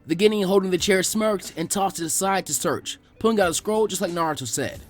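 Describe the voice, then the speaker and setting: A man narrating fan fiction as an animated scripted story reading. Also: sits high, fairly quick, faint music behind.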